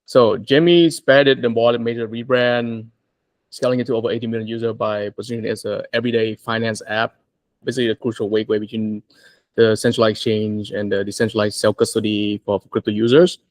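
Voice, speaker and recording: A man hosting a podcast interview, talking haltingly with many repeated words and fillers. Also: fairly low pitch, level tone, no echo. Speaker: podcast host.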